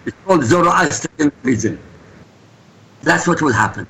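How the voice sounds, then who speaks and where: A man's voice: fairly high pitch, lively intonation, moderate pace, vocal fry, bright, forceful tone, breathy edge, poor audio. A man from Iraq, a guest answering interview questions in an emphatic, insistent way. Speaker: guest commentator.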